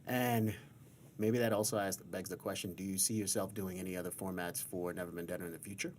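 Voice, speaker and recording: A man's voice: deep voice, fairly even intonation, fairly quick, slight background noise. A man hosting a casual interview, informal and affirming, asking rambling open questions. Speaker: interviewer, podcast host.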